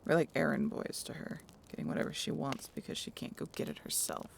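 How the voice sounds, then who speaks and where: A woman plays a cynical, guarded female harpy in a fantasy audio roleplay. Her voice is heavily fried and gravelly, with pitch that moves around a lot. She sounds curt and sardonic, as if everything is a grudging chore. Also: very animated, fairly quick, slight background noise, heavily acted. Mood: bitter.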